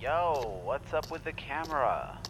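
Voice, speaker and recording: Casual sceptical stock worker, creaky, swooping pitch, noisy background. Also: male voice, very animated, a little slow. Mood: sceptical, puzzled. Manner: slangy, casual. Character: laid-back, sceptical male food stocker. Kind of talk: naturalistic audio drama acting.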